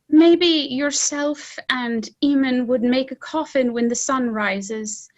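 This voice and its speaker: A woman's voice: high voice, very slow, dull audio. A woman playing a sorrowful, tender and practical young village daughter in a stage drama. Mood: subdued sorrow.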